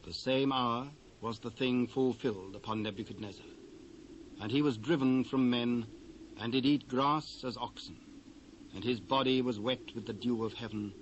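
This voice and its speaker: A British man narrating scripture from a script in a solemn, measured way. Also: medium pitch. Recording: dull cassette transfer, faint hiss.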